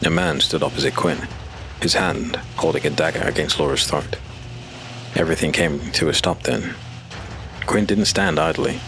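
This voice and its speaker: A man narrating a dark fantasy audio drama in measured, dramatic storytelling. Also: extremely low pitch, sing-song, creaky, a little hiss or hum.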